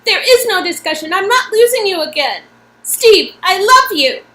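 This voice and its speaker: A woman plays a determined, loving heroine in a game story. Her voice is high and sharp, moving animatedly with urgency and devotion. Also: big pitch swings, normal speed, exaggerated voice. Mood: urgent, devoted.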